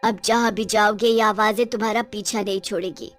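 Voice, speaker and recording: A woman voicing a vengeful, gleeful young witch ghost in a story cartoon, taunting with drawn-out words and cackles. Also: mid-range voice, steady tone, soft background music, close to an everyday voice. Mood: menacing taunts.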